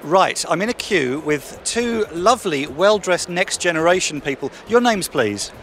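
A man reporting and interviewing fans at a convention in London, chatty and brisk, on a handheld mic. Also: sits high, lively intonation, music in the background. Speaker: roving reporter. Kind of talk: convention vox pops.

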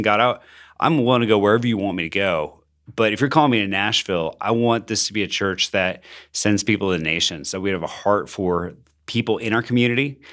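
A man who grew up in Colombia talks in a fairly low voice. He is a pastor co-hosting a podcast and speaks in an earnest, enthusiastic, conversational way. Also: a bit bright. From Colombia, raised in Bogota by missionary parents.

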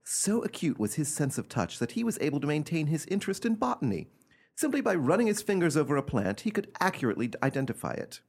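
A man playing an eccentric gentleman who recounts an uncanny family tale in a theatrical, emphatic radio drama style. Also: fairly high pitch, sing-song, quick pace, creaky, husky, exaggerated voice. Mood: reflective, admiring.

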